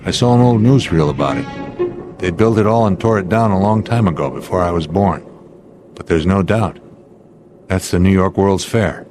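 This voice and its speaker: An actor playing a steady, authoritative airline captain under strain in a radio drama, speaking deliberately. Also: male voice, deep voice, music in the background, close to an everyday voice. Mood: grave, puzzled.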